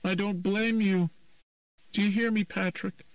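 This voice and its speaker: A man voicing a grieving mother who speaks firmly and gently as she forgives. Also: high voice, fairly even intonation, measured pace, no echo, recorded from a distance, pitched above the performer's own voice. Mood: earnest.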